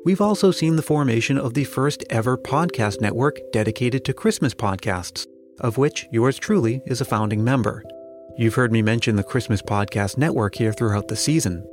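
A man's voice: medium pitch, normal speed, soft background music. A man hosting a podcast, giving scripted introductions and interview questions.

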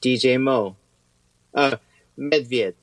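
A man's voice: medium pitch, very slow, slight background noise. A man co-hosting casually as a language learner, giving brief, good-humored replies and repeating words.

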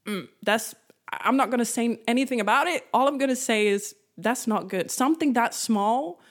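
A woman's voice: a little high, moderate pace. A young woman from the Netherlands, Dutch as her first language, speaking English with a Dutch accent. She gives a devotional talk straight to her viewers and acts out her own reactions in a chatty way. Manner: conversational, addresses viewers directly.

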